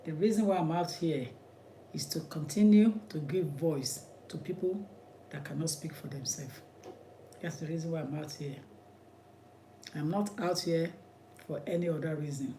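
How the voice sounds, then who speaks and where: A man's voice: on the high side, normal speed, long pauses, warm tone, slight background noise. A man with a Nigerian accent, an immigration lawyer giving impassioned commentary in an emphatic, repetitive, preacherly way.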